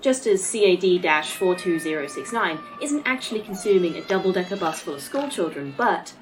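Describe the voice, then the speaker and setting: An actress playing a polite, pedantic A.I. that speaks formally and precisely in a sci-fi audio drama. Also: female voice, fairly low pitch, expressive, brisk, noisy background, close to an everyday voice. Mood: chirpy, pedantic. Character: polite, pedantic female A.I. running a facility. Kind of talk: audio fiction acting.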